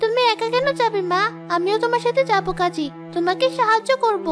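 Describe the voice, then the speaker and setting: A woman's voice: extremely high pitch, a little fast. A woman voicing a selfless, devoted little daughter in a moral-story cartoon, sweetly pleading, with music behind. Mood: earnest, caring.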